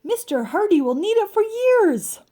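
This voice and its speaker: A woman's voice: extremely high pitch, very animated, normal speed, mellow. A woman voicing a cheerful, earnest, literal-minded housekeeper and babysitter from a children's storybook. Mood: cheerful, helpful.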